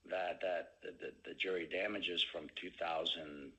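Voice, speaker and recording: Very deep, slow-talking male executive. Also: fairly even intonation, pushed and bright, rough recording. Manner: halting, hesitant phrasing with many pauses. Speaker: company executive. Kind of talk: earnings call question and answer.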